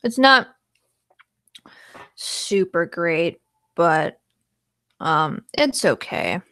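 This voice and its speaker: Slow-talking young woman streamer. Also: lowish voice, sing-song, a bit bright, dry recording. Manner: rambling, trails off into song snippets. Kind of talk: casual livestream chat with singing.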